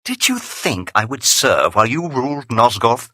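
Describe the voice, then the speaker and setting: An actor playing a gloating, treacherous vampire henchman in a video game, raspy and theatrical, with mocking, formal archaic phrasing. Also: mid-range voice, sing-song, normal speed, pushed and bright, no echo, clean studio sound. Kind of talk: dramatic video game voice acting.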